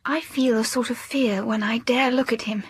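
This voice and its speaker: A lovestruck young gentlewoman in a period audio drama, earnest and anxious. Her voice is fairly high and breathy, confiding in formal phrases, recorded close and dry. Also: steady tone, moderate pace, dry recording. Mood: adoring.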